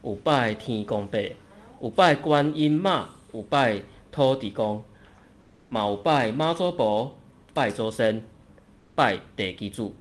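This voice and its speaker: A man's voice: mid-range voice, lively intonation, slow pace. A man with a Taiwanese accent narrating a folk tale as a storyteller.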